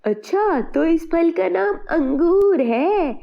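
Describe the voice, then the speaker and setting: A woman voicing a sweet, polite, gently curious fairy in a children's fairy-tale cartoon. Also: very high-pitched, animated, slow, vocal fry, highs cut off, voice pushed up, full character voice.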